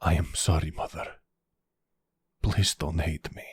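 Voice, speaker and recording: A man playing a timid, guilt-ridden young son in an audio roleplay, speaking softly and haltingly, full of apology. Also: rumbling low, steady tone, breathy edge, no echo, heavily acted. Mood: remorseful. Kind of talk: ASMR audio roleplay drama.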